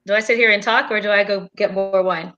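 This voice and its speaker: Bright giggly woman in her thirties. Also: mid-range voice, fairly even intonation, brisk, highs cut off. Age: 30s. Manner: giggly, chatty. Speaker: guest. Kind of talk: casual livestream chat.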